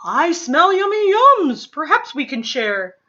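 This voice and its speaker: A woman voicing a friendly badger, speaking loudly and cheerfully in a storybook reading. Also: sits high, big pitch swings, slow, lo-fi sound, pitched above the performer's own voice, exaggerated voice. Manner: loud, cheerful offering. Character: friendly badger bringing treats, grinning.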